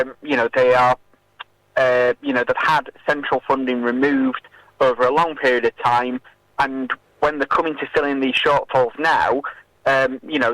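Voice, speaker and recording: Male activist guest for radio political interview; hesitant, stop-start delivery, middling pitch, very dry acoustic. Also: a bit bright, no echo, rough recording. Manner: hesitant, halting with many filled pauses.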